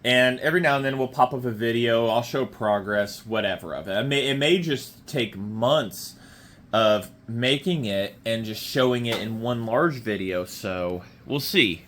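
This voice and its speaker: A man's voice: mid-range voice, a little slow, fairly bright tone. Man with a sore throat, rambling. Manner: rambling, with many fillers and restarts. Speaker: motorcycle restoration vlogger. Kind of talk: casual walkaround vlog. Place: home garage.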